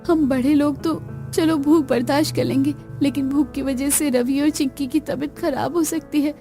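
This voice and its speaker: A woman's voice: sits high, steady tone, normal speed, warm tone, faint music behind. A woman voicing a poor, earnest young daughter-in-law in a cartoon story, polite, pleading and determined.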